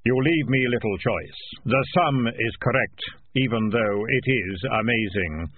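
A man voicing Death as a solemn, weary reaper, speaking slowly, gravely and deliberately. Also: mid-range voice, phone-quality sound, slight background noise, close to an everyday voice. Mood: solemn, dry.

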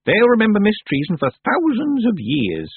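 A man voicing a very old, proud and theatrical woman witch with a high, crotchety voice. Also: wildly swooping pitch, moderate pace, vocal fry, slightly rough, dry recording, heavily acted. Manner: bossy and showy. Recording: tinny phone-like, dry sound.

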